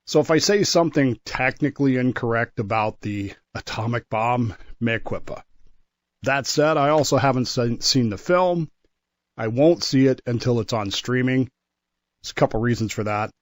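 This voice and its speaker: An American man co-hosting a history segment on talk radio, explaining things in a wry, measured, casual way. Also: medium pitch, no room sound.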